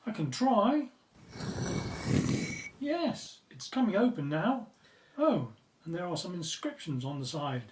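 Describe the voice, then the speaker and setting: A woman's voice: fairly low pitch, sing-song, slow pace, pauses often, darkish tone, a little hiss or hum. Echoey, distant practical woman archaeologist played by an actress. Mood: curious, practical. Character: practical, curious archaeology team member. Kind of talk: audio drama acting.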